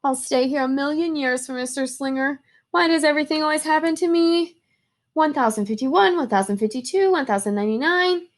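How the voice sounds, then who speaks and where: A dramatic little schoolgirl from a storybook, played by a woman reader. Her voice is high and emphatic, full of big, excitable feelings. She leans hard on repeated words for effect. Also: fairly quick, lightly acted. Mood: sulky, self-pitying.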